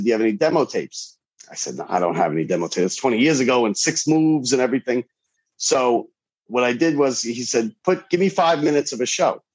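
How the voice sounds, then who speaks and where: Male, forties, New York accent, emphatic sports-podcaster chat, mid-pitched, repeats phrases as he goes. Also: low-quality recording. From New York, USA. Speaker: sports podcast host, interview guest. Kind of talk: casual interview chat.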